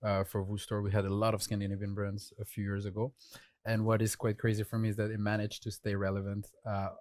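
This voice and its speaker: Lively man. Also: on the low side, fast talker, dry recording, clean studio sound. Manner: lively, conversational. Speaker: creative director, panelist. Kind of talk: panel discussion.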